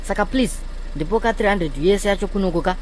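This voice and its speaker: A man's voice: sits high, quick pace, slight background noise, lightly acted. A man voicing a fussy, demanding car owner in a comedy cartoon, nagging and pleading as he lectures. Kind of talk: comedy cartoon dialogue in Shona mixed with English.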